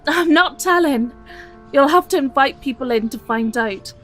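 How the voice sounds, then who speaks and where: An actress playing a devoted but wry, teasing and reluctant grown daughter in an audio drama. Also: female voice, sits high, animated, normal speed, fairly bright tone, soft background music.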